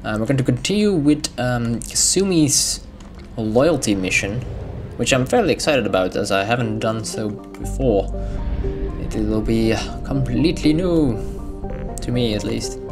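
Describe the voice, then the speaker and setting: A man whose first language is Dutch, talking casually through a gameplay session with frequent ums and soft chuckles. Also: medium pitch, animated, measured pace. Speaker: gamer. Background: video game music.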